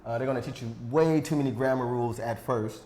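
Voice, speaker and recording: A man's voice: mid-range voice, fast, mellow, a little hiss or hum. A man hosting a podcast, chatting casually in an easygoing way with joking, self-deprecating asides. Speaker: podcast host. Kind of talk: casual interview chat. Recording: echoey room.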